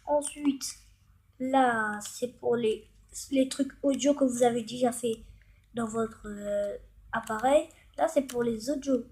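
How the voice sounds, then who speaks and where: A woman with a clearly high voice hosts a casual tutorial. She speaks slowly and haltingly, improvising her explanation and thinking aloud as she demonstrates each step. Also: a little mellow. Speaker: tutorial host.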